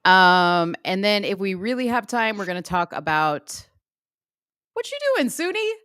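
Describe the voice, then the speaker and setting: A woman's voice: mid-range voice, sing-song, a bit bright, smooth, dry recording, studio quality. A woman co-hosting a casual livestream talk show, playful and joking, greeting the chat and running through the topics. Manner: playful, laughing, quick asides. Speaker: podcast co-host.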